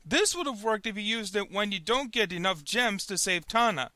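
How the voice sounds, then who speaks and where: A man's voice: high voice, expressive, moderate pace, bright, forceful tone, no echo, studio quality. A man hosting a web review show, giving scripted comedic commentary in an emphatic, mock-indignant manner.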